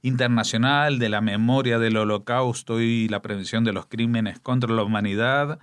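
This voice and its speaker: A man with an Argentine accent, a radio news commentator who reads a poem aloud in slow, solemn recitation, letting lines trail off quietly. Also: medium pitch, steady tone, clear, resonant tone, no room sound. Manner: slow, solemn recitation with dramatic pauses and hushed endings. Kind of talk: radio commentary and poetry reading.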